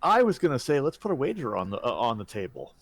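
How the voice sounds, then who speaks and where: Male streamer co-host, animated delivery, casual banter, very dry recording. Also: mid-range voice, fast talker, no echo.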